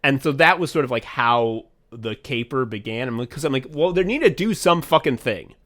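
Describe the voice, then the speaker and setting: A young man chatting casually as a podcast co-host, rambling excitedly and swearing often as he walks through his own thinking. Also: medium pitch, moderate pace. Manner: rambling, profane, excitable, acts out his own thought process. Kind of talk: casual behind-the-scenes chat.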